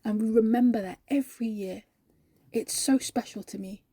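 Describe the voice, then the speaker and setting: Woman acting out a breathy, devout, joyful shop worker. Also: fairly high pitch, measured pace, warm tone, no echo. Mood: reverent joy.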